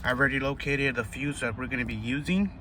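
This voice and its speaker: Clear vehicle noise sits behind a man with a mid-pitched voice. He talks informally, like a DIY host walking viewers through a car job, halting often and thinking aloud as he works. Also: sharp tone. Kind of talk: casual hands-on tutorial talk to viewers. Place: in or beside a car.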